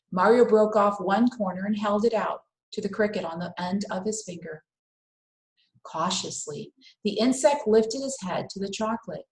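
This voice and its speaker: Female storyteller, mid pitch, reading children's fiction aloud with careful expression and pauses; echoey room recording. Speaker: read-aloud host. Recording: roomy, echoing indoor sound.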